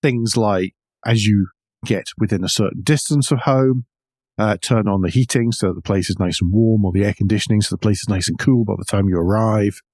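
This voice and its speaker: Male podcast co-host, middle-aged, lowish pitch, wry and conversational, very dry close recording. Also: brisk, darkish tone, no echo. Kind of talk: casual discussion of car news.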